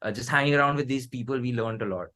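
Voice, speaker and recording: A man's voice: mid-range voice, fast talker, bright, forceful tone. A man in his thirties with an Indian accent, chatting casually as a musician being interviewed, circling back and restarting his phrases as he tells anecdotes. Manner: rambling, repeats and restarts phrases.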